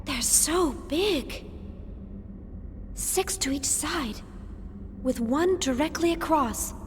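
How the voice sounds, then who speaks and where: High-voiced, curious young woman. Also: animated, drawn-out words, in a large hall, slight background noise, exaggerated voice. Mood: wondering. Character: curious, excitable young woman in a sci-fi drama. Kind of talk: video game voice acting.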